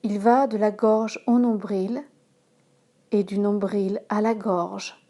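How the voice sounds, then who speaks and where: A woman yoga instructor leading a guided relaxation in a calm, measured manner, giving instructions in short phrases. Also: mid-range voice. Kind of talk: slow guided relaxation meditation.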